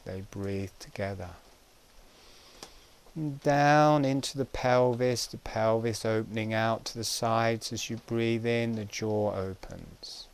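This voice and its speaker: A man giving guided breathing instruction in a calm, gentle, measured way, pausing between short phrases. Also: lowish voice, gravelly, clean tone.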